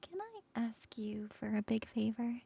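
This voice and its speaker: A woman's voice: on the high side, sing-song, a little slow, vocal fry, slightly rough, exaggerated voice. A woman playing a teasing whispering coworker. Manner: soft whispering, playful teasing. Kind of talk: ASMR roleplay.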